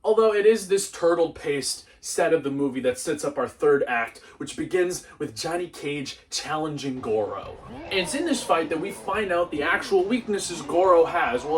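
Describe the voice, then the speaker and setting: A young man with a high-pitched voice hosts a comedic movie review, picked up a little way from the mic. He is animated and sarcastic, breaking into exclamations as he goes. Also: moderate pace, few pauses, distant mic, noisy background. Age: young adult. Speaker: video host, movie reviewer.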